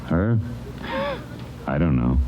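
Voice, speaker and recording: An actor playing a callous, resigned ex-con thief in an old radio crime drama, curt and sullen. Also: male voice, very deep voice, expressive, takes it slowly, full character voice. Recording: dull, noisy vintage radio recording.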